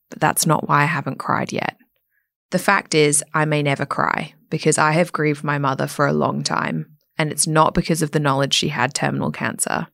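A woman reading a scripted first-person story in a serious, measured way. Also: sits low, vocal fry, a bit bright, no room sound, clean studio sound. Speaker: reader of an anonymous submission.

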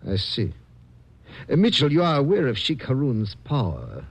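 An actor playing a formal, suspicious Egyptian police lieutenant in a 1950s radio drama, speaking politely and precisely. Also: male voice, medium pitch, huge pitch swings, gravelly, slightly rough, exaggerated voice. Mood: politely probing. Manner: polite, measured, precise diction.

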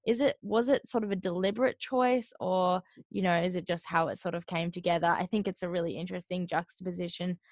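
A woman's voice: on the low side, fairly even intonation, a little fast, few pauses, dry recording, clean studio sound. An Australian woman hosting a radio interview, asking long, friendly questions about an album.

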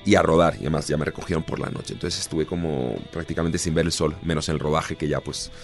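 Low-voiced Spanish man in his thirties. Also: fairly even intonation, quick pace, vocal fry, soft background music. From Spain. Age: 30s. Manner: easygoing, chatty, with frequent restarts. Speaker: actor guest. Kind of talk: relaxed interview answers.

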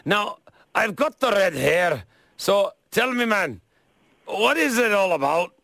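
A man acts out a boastful Scottish redhead on a prank call. The voice is high and forceful with a gravelly edge, chummy and cocky in a put-on Scottish accent. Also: big pitch swings, takes it slowly, dry recording, exaggerated voice. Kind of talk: prank phone call acting.

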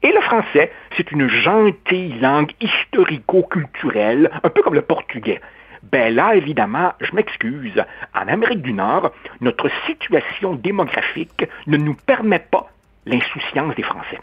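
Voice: medium pitch, very animated, moderate pace, husky. A speaker, arguing a point in a radio discussion, with emphatic rising stresses. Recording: dull lo-fi radio sound.

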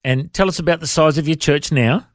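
A man's voice: a little high, a little fast, a little hiss or hum. A man hosting a casual radio interview on a close studio microphone.

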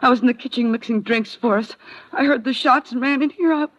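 A woman's voice: on the high side, brisk, close to an everyday voice. An actress playing a frightened, evasive young woman who speaks hesitantly in 1950s radio drama style. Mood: anxious pleading. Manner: hesitant, breaking off and stammering. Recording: dull, vintage radio recording.

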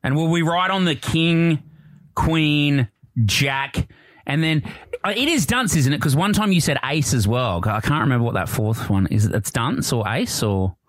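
A man's voice: on the high side, lively intonation, measured pace, no echo. A middle-aged man with an Australian accent, bantering casually as a radio breakfast co-host. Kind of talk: casual radio banter, taking listener calls.

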